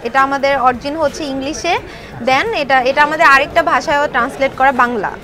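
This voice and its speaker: A woman's voice: high voice, steady tone, quick pace, sharp tone. A woman working as a stall seller, presenting products in an informal interview and mixing English words into her speech. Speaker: bookstall seller. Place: busy book fair stall. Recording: busy crowd noise behind.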